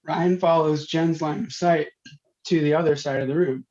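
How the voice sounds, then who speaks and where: A man's voice: fairly high pitch, steady tone, normal speed, a little mellow. A man reading stage directions as scripted narration at a comedy table read. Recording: dull lo-fi online call.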